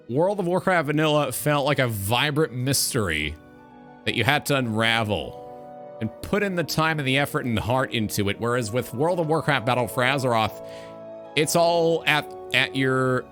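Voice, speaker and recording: A man hosting a talk show, giving opinionated commentary on gaming in an emphatic, deliberate way with ornate wording. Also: medium pitch, expressive, a bit bright, faint music behind.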